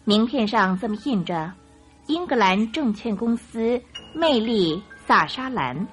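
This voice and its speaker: A woman narrating a radio drama in an expressive storytelling style. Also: a little high, a little slow. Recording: music behind the voice.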